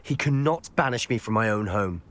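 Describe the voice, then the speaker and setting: A man's voice: fairly low pitch, expressive, fairly quick, natural voice. A man playing a defiant, protective schoolboy in a video game, speaking earnestly and stubbornly. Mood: defiant, hurt. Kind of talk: dramatic game cutscene acting.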